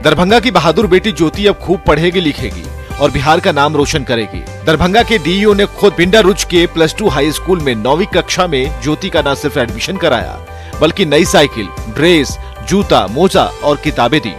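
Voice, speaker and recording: A man with an Indian accent reads a news report in a fairly high voice. The narration is scripted, with music clearly running behind it. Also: lively intonation, normal speed. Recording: music bed under the voice.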